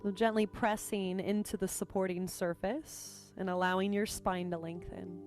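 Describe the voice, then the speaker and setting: A woman leading a guided meditation as a teacher, speaking gently and unhurriedly with pauses between phrases. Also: medium pitch, fairly even intonation. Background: music.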